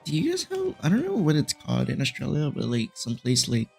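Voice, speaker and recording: A man's voice: on the high side, wildly swooping pitch, a little fast, creaky, darkish tone, slightly rough. A man chatting casually on a livestream in a teasing, slangy way, saying bro often. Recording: music playing behind the voice. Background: video game music.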